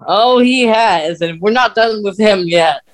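High-pitched man chatting on a podcast. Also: a little slow. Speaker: podcast host. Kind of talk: casual fan podcast chat.

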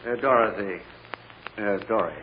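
An actor playing a kindly, patient judge with gentle, reassuring authority in a 1940s radio drama. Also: male voice, lowish voice, normal speed, close to an everyday voice. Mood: gentle, soothing. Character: kindly, patient male judge calming a courtroom. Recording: dull, noisy old radio recording.

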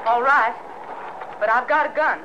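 A woman's voice: fairly high pitch, measured pace, natural voice. An actress playing a guarded but hospitable young American frontier woman in an old-time radio western. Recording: muffled vintage radio recording with noise.

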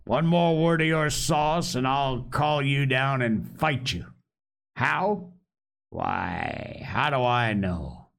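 A man's voice: medium pitch, slow pace, fairly bright tone, clean tone. A man voicing a cunning, domineering pirate sea cook in a storybook reading, taunting and blustering in salty dialect. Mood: scolding, defiant.

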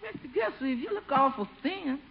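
A woman's voice: sits high, expressive, normal speed, gravelly. An actress playing a motherly, fussing household cook in a 1950s radio comedy, folksy and exclamatory. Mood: concerned. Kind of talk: 1950s radio sitcom acting. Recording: dull old radio recording.

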